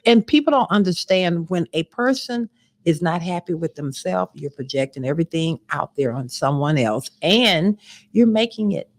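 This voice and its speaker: A woman's voice: fairly low pitch, lively intonation, normal speed, no echo. A woman hosting a candid talk show, emphatic and encouraging, often repeating herself for weight. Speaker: show host.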